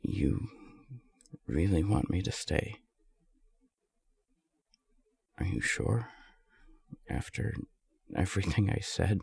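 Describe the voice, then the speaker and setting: A man playing a lovestruck, self-deprecating non-binary friend in an intimate romantic roleplay audio, speaking softly and haltingly in a breathy, husky voice. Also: fairly low pitch, dry recording, full character voice. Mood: hopeful, tender. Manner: hesitant, halting, soft-spoken.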